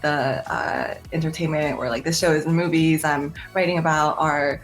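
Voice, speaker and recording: Low-voiced young woman, hesitant and measured. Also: steady tone, soft background music. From Taiwan. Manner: measured, many "um" and "uh" pauses. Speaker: entertainment journalist. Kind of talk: panel interview answer. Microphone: video call.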